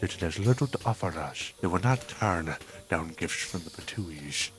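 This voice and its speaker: Male actor as scheming deferential envoy, very deep, formal and obsequious, fan-dub drama. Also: lively intonation, moderate pace, faint music behind. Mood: formally flattering. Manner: servile, formal, smooth-talking.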